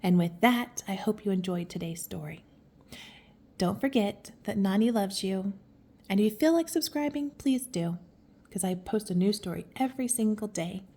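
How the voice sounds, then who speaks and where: A woman reading a picture book aloud to children, affectionate and expressive, with chatty asides and exclamations. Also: medium pitch, normal speed. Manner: affectionate, gasps and exclaims in reaction to the story. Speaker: children's story reader and host.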